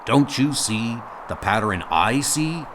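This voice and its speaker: A man voicing a gruff, blunt, exasperated starship doctor in an audio comic dub. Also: mid-range voice, lively intonation, slow, noisy background. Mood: insistent. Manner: blunt, argumentative, sardonic.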